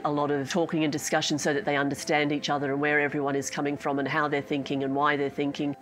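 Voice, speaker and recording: A woman, a teacher, explaining in a measured documentary interview. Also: sits low.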